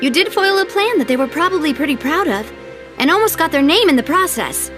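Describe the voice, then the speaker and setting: Poised, high-voiced young lady leader. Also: sing-song, brisk, a bit bright, soft background music. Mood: composed, inquiring. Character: poised young lady leading a crime-fighting organization, earnest and polite. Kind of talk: video game cutscene voice acting.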